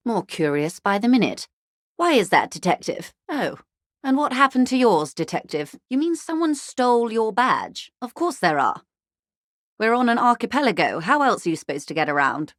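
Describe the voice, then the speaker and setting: An actress playing a wealthy, poised company negotiator in a video game, speaking in polite, measured, formal phrases with dry irony. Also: female voice, lowish voice, fairly bright tone, dry recording. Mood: curious, wry. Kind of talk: scripted game character dialogue.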